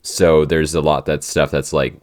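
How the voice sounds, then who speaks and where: A man's voice: very deep voice, little pitch movement, moderate pace, no echo, clean studio sound. A man hosting a casual podcast Q&A, talking in a rambling, informal way. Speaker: podcast host and show's writer. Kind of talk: casual Q&A chat.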